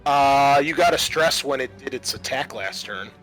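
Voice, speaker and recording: A man acting as game master, narrating and refereeing a tabletop roleplaying game in an informal, halting way. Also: medium pitch, expressive, fairly bright tone, soft background music, poor audio.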